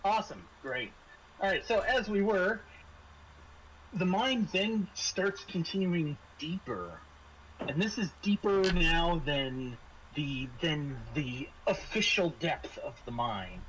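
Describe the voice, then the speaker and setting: A man narrating a tabletop role-playing game as game master, halting and searching for words. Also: fairly high pitch, animated, fairly bright tone, a little hiss or hum, low-quality recording.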